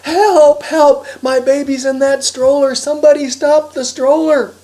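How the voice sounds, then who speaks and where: A woman voicing a frantic, careless father in a story, yelling loudly and urgently. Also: high voice, fairly even intonation, unhurried, pitched above the performer's own voice. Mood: panicked yelling. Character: Harry, frantic, careless young father, defensive and shouting. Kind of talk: live storytelling character voice.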